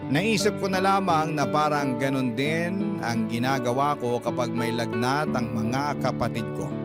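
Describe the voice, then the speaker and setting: A man narrating a letter in the first person as a radio drama storyteller. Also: mid-range voice. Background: loud plucked guitar music.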